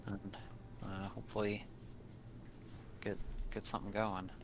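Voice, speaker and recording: A man chatting casually as a player in a tabletop game, in a hesitant, halting way. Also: fairly low pitch, level tone, low-quality recording.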